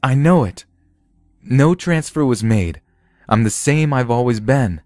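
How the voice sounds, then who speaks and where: A man's voice: medium pitch, animated, unhurried, darkish tone, no room sound, studio quality, pitched above the performer's own voice, natural voice. A man voicing a frantic, pleading man accused of being a robot in a science fiction story. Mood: desperate, insistent. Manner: pleading, insistent. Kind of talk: dramatised science fiction audiobook.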